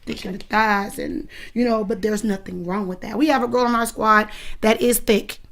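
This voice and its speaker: A woman's voice: medium pitch, steady tone, normal speed, creaky. A woman in her thirties, a dance coach, answering interview questions in an earnest, emphatic and protective way. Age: 30s.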